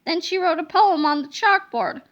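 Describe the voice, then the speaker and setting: A woman with a very high, bright voice reads a children's book aloud. Her delivery is expressive and dramatic, like animated storytelling for young listeners. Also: normal speed, muffled recording. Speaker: storybook reader.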